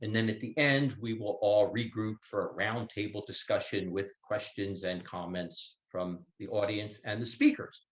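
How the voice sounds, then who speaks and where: A man's voice: fairly low pitch, fairly even intonation, moderate pace, hardly any pauses. Man moderating a panel in an echoey room. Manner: organized, announcing the program. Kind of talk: event introduction.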